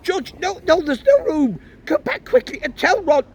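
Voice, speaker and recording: A man's voice: extremely high pitch, sing-song, moderate pace, gravelly, voice pushed up, full character voice. A man voicing a panicky teenage boy stuck in a chimney, yelping urgently. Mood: panicked.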